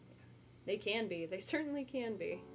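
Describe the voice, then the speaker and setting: A woman's voice: medium pitch, expressive, normal speed. A young woman streamer talking casually with her viewers, rambling and self-deprecating, with lots of fillers. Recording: music playing behind.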